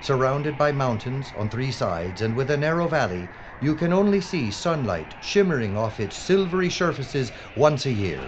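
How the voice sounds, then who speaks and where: A man playing a flippant, complaining documentary presenter in an audio drama, animated in manner. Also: medium pitch, normal speed, hardly any pauses, close to an everyday voice. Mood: presenting. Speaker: actor in radio drama. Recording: muffled, noisy ambience.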